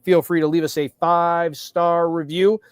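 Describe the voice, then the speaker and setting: A man's voice: fairly high pitch, little pitch movement, normal speed, smooth, dry recording, studio quality. A man hosting a sports podcast, delivering a scripted, upbeat intro. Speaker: podcast host.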